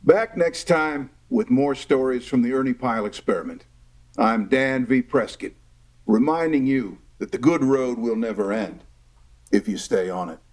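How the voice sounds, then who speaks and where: A man playing a folksy radio show announcer, giving a measured sign-off in an audio drama. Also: mid-range voice, darkish tone, lightly acted.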